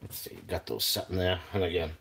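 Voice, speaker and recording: An older man with a New England accent talks through his cooking on a live stream, drifting between topics and filling the pauses with "uh". His voice sits very low, and a faint hiss with small clicks runs under the recording. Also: fairly bright tone. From Boston area, New England. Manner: rambling, chatty, many fillers and restarts. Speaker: cooking livestream host. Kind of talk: casual live cooking chat.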